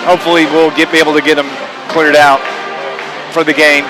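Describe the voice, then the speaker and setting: A high-pitched man works as a local radio sports announcer. He delivers live pregame commentary from a busy gym, with clear music behind him. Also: little pitch movement, a little fast. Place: indoor high school gymnasium.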